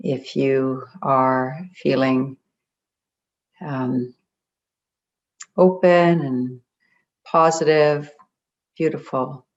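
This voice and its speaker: A meditation guide leading a group in a gentle, deliberate way, leaving long pauses between phrases. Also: mid-range voice. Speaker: meditation guide, spiritual coach. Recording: dull, muffled lo-fi audio.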